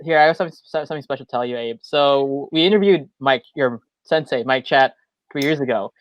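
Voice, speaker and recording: A man co-hosting a casual interview, gushing like an excitable fan. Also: mid-range voice, brisk, dry recording, dull audio. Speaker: co-host.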